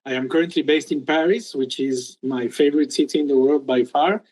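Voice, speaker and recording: A middle-aged man from Peru, a guest telling his career story in an interview, with many pauses and restarts. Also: fairly high pitch, steady tone, darkish tone, low-quality recording. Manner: hesitant, many ums and restarts. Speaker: guest entrepreneur. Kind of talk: casual interview talk.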